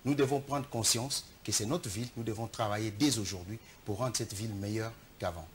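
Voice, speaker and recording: A man's voice: on the low side, fairly quick, a little hiss or hum. A Congolese man with a Congolese French accent, a political candidate answering interview questions earnestly. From Democratic Republic of the Congo. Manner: defensive, earnest, speechmaking. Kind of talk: studio interview.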